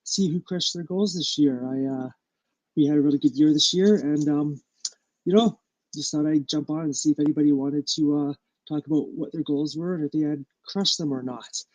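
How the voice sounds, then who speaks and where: Man, stuffy nose, fairly high voice, dry sound, halting casual live-stream talk full of fillers. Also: a little mellow, no echo, poor audio. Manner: halting, full of ums and uhs. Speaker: small business owner hosting a live stream.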